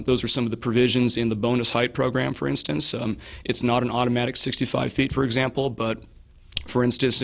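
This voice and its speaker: A hoarse man answering interview questions as a local candidate, measured and hedging, with frequent fillers and restarts. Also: medium pitch. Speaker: political candidate.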